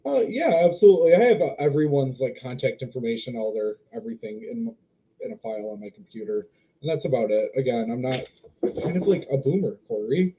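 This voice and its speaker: Lively young man, slightly muffled sound. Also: mid-range voice, fairly quick, slight background noise. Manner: expressive, hesitant, searches for words. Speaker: dog trainer guest. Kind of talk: casual podcast interview.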